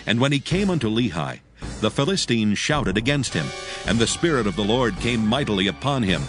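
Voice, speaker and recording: A man's voice: medium pitch, very animated, brisk, over music. A man narrating a dramatized Bible with a solemn storytelling delivery.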